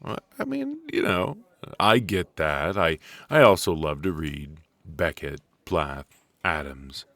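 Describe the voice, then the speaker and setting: A man acting a friendly, chatty extrovert at a coffee shop mixer in an ASMR audio roleplay, conversational and reassuring, pausing for an unheard listener. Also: rumbling low, big pitch swings, a bit bright, no room sound, full character voice. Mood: curious, easygoing. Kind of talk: ASMR roleplay, casual one-sided conversation.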